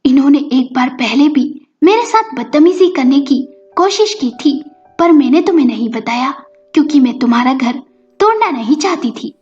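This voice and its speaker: महिला के अभिनय में ऊँची आवाज़ वाली नाटकीय प्रेम-दीवानी युवती. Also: female voice, sits high, expressive, moderate pace, darkish tone. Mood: feigned outrage. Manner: pleading, dramatic. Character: scheming lovesick young woman, pleading and melodramatic. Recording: dull lo-fi audio with music.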